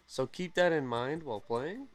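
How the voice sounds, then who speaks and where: A young man hosting a gaming channel, chatting casually and excitedly through game news, often correcting himself mid-sentence. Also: fairly high pitch, moderate pace. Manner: rambling, self-correcting, excitable.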